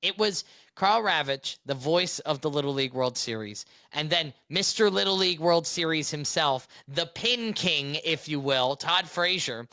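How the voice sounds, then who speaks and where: A young man co-hosting a podcast, upbeat and animated as he tells stories. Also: fairly high pitch, normal speed, no echo, clean studio sound. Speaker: podcast co-host. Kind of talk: casual chat.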